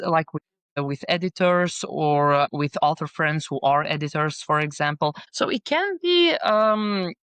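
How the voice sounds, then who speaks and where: A woman's voice: low-pitched, fairly bright tone, clear, resonant tone, no echo. A young woman author whose first language is not English, chatting casually in an interview with many fillers and restarts. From Bosnia and Belgium. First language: not English; speaks French, Dutch, Bosnian. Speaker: guest author.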